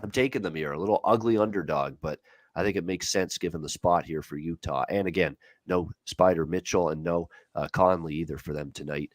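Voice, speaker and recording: A man's voice: low-pitched, a little fast, dry recording. A man hosting a sports betting show, giving his opinions in casual, conversational commentary.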